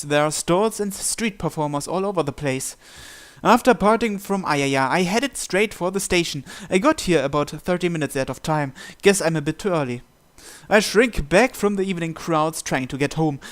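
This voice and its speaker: A man with a fairly high voice narrates a visual novel as he plays. He reads the text expressively and drops in casual remarks, with music behind him. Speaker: gamer hosting a visual novel playthrough. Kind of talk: reading game text aloud with casual commentary.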